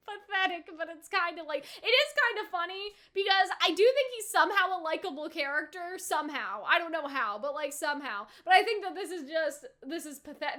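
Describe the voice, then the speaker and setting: A young woman with a very high, bright voice chats through an anime review. She rambles in an animated, casual way, often checking in with "right?" and leaning on "like". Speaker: anime reaction YouTuber. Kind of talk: casual reaction and review chat.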